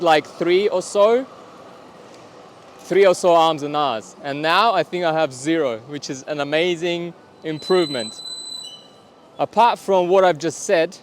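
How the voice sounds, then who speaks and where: A man speaks to camera on a city street, his voice sitting a little high for a man. He talks in a deliberate way, pausing rather than filling gaps. Faint traffic hums behind him. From Kazakhstan. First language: Russian. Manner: deliberate, pausing instead of fillers. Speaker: vlogger. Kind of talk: casual talk to camera.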